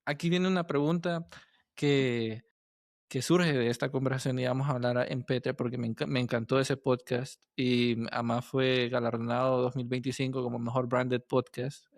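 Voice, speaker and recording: A man with a Latin American Spanish accent that uses vos, hosting a casual interview and thinking aloud in a halting way. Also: medium pitch, steady tone, no echo, studio quality. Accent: Latin American Spanish with voseo. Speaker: podcast host and interviewer.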